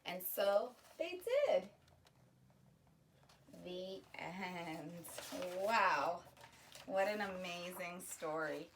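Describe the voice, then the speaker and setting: Slow gentle storytime woman, a bit off-mic. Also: on the low side, far from the mic, slight background noise. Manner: slow, gentle storytime delivery with explanatory asides. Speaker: children's read-aloud host. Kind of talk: reading a picture book aloud to kids.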